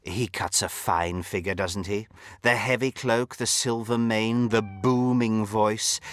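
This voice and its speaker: A man's voice: on the low side, steady tone, slow, no room sound, clean studio sound. A man playing a pompous, sly aristocratic count in a video game, speaking in a theatrical, florid courtly manner with mocking, suggestive emphasis. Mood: insinuating, courteous. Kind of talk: video game character dialogue.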